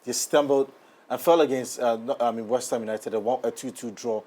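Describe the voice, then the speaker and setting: A man's voice: medium pitch, fairly even intonation, fairly quick, dark tone. A man, interviewing guests as host of a sports talk show.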